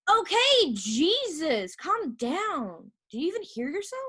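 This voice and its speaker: An actress playing an earnest, defensive young woman, a halting and pleading younger sister in a stage play. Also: extremely high pitch, very animated, bright, forceful tone, full character voice. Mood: defensive, frustrated. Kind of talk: naturalistic one-act stage play.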